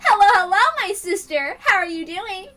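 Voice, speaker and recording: A woman's voice: very high voice, normal speed, heavy background noise. A woman voicing a scheming, gloating young twin sister from an anime visual novel, taunting with theatrical mock politeness. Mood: smug. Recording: music behind the voice.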